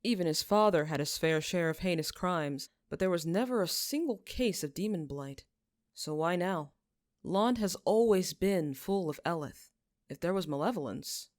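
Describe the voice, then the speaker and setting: Female audiobook narrator: lowish pitch, measured, solemn delivery, very dry close recording. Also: no room sound. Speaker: podfic narrator. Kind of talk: scripted fiction narration.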